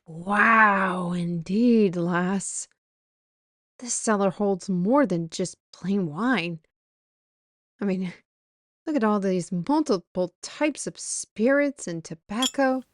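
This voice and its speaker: A wry, worldly female mage acted by a woman muses aloud at an unhurried pace. The fantasy roleplay delivery is theatrical and chatty, recorded close and dry. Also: medium pitch, no room sound, lightly acted. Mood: awed, wistful. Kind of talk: fantasy audio roleplay acting.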